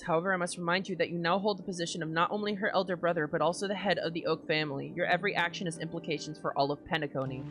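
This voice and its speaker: A woman reads aloud as a stern elder of a powerful family in a video game. The delivery is formal, admonishing and quick, with small stumbles. Music is clearly audible behind it. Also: fairly low pitch, close to an everyday voice. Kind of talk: dramatic reading of game dialogue.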